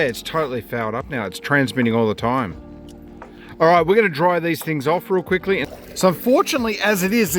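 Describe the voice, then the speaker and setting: A man giving a casual hands-on product review in a plain-spoken, matter-of-fact way. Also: high voice.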